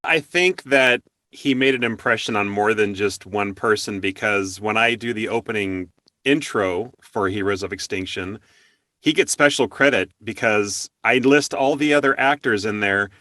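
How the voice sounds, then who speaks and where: A man's voice: fairly low pitch, normal speed, pushed and bright, no echo. A man hosting an interview in a conversational style, explaining at length as he works toward his questions. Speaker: interview show host.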